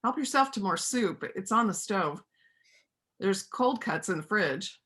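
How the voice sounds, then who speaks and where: A woman's voice: mid-range voice, lively intonation, measured pace, a bit bright. A woman playing a kind, plain-spoken widow who works with farm animals, in a reader's theater play. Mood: practical, caring. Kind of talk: stage reader's theater dialogue.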